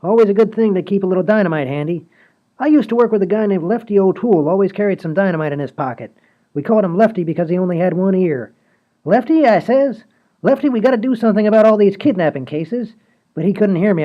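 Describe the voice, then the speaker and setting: A man's voice: high-pitched, expressive. A man acting a rambling, oblivious handyman who used to be a cop, in a comedy radio play, with a folksy manner. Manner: rambling, folksy, unhurried storytelling. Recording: dull lo-fi podcast audio.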